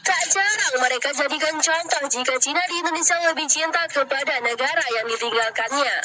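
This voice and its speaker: A woman with a high voice reads a scripted narration in a steady, explanatory way. Music plays clearly underneath her the whole time. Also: a little fast. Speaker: narrator of a reaction video.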